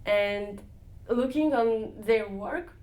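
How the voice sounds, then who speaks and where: A young woman answering interview questions, searching for words with frequent pauses and restarts. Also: fairly high pitch, a little mellow. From Israel. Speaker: interviewee, volunteer dance leader.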